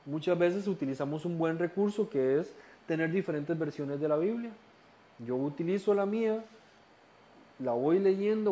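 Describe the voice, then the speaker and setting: A man with a Costa Rican accent teaching a recorded Bible study lesson, explaining calmly and posing rhetorical questions. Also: fairly high pitch. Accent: Costa Rican Spanish. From Costa Rica. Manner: calm explaining, rhetorical questions, occasional restarts.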